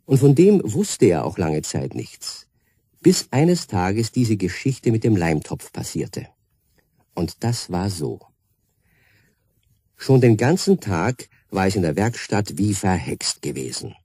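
A man narrating a children's radio play as an expressive storyteller who speaks directly to young listeners. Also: on the low side, slow, breathy edge, no echo, heavily acted. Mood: storytelling. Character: storyteller introducing a children's tale.

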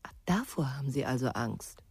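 A woman's voice: very deep voice, expressive, normal speed, darkish tone, husky, no echo, heavily acted. An actress playing a wary, defiant woman in a radio crime drama, asking short, sceptical questions. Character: wary, defiant woman confronting a threatening stranger.

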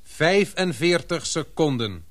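An actor playing a tense but controlled male expedition leader in a Dutch radio drama, with a deliberate, formal way of giving orders. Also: on the high side, big pitch swings, exaggerated voice. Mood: tense, commanding.

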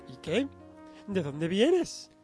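A melodramatic, nagging wife in a comic radio soap, pitched very high. The Spanish accent is from Spain, and the manner is snobbish, indignant and theatrical. Music plays behind the voice. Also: male voice, huge pitch swings, extremely slow, darkish tone, full character voice. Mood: jealous, sarcastic. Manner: scolding, indignant, theatrical outbursts.

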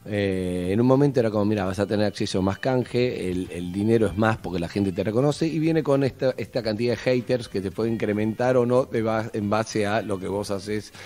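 A man's voice: lowish voice, a little fast, few pauses, darkish tone. An Argentine man hosting a talk show, bantering in a playful, teasing way and often interrupting.

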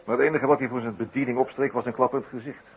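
A man's voice: mid-range voice, fairly even intonation, rapid-fire, lightly acted. A man playing a shrewd detective in a 1960s Dutch radio drama, musing in a wordy, hesitant, drily ironic way. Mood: probing, ironic. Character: shrewd, wry male detective, musing and deliberate. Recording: noisy old 1960s radio recording.

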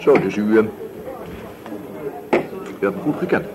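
An actor playing a calm, probing police inspector in a radio play, asking his questions in a measured way. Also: fairly low pitch, noisy background, natural voice. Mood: calm, inquisitive. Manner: measured, questioning, murmured acknowledgements. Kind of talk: Dutch radio play acting. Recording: radio play with busy café chatter.

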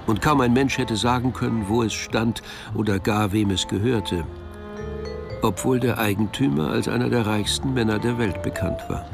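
A man narrating a radio drama, telling the story in a measured, precise literary style. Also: on the low side, creaky. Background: music.

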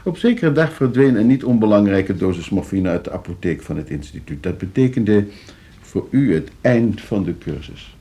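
An actor playing a coolly scheming, suave and condescending nephew in a Dutch radio play. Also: male voice, lowish voice, gravelly, dark tone, husky, full character voice. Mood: probing, insinuating. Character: scheming, debt-ridden nephew coolly arranging his uncle's murder.

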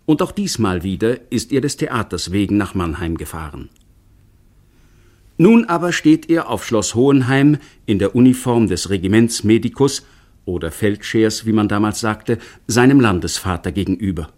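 Low-voiced male radio drama narrator. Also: big pitch swings, darkish tone. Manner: measured, descriptive.

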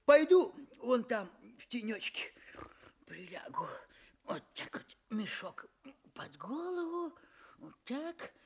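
An actor playing a lazy, cunning young farmhand in a fairy-tale audio play, drawling and playing innocent in a husky voice. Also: male voice, extremely high pitch, very animated, heavily acted. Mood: contented, drowsy. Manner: drawling, unhurried, chuckling.

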